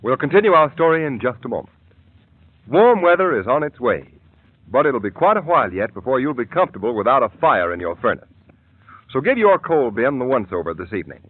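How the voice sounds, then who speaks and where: A man's voice: medium pitch, big pitch swings, fairly quick, breathy edge, dry recording. A man announcing in emphatic, polished 1930s radio style, reading scripted ads and narration. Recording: tinny, dry vintage radio broadcast.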